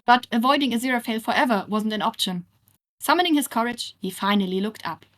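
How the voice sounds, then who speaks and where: A woman reading fan fiction aloud as an expressive scripted narration. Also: fairly high pitch, fast talker.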